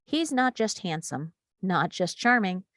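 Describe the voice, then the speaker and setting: A woman's voice: lowish voice, very animated, measured pace, fairly bright tone, no echo. Woman narrating a screenplay, dry sound. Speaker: reader of stage directions. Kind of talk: scripted narration of screenplay action.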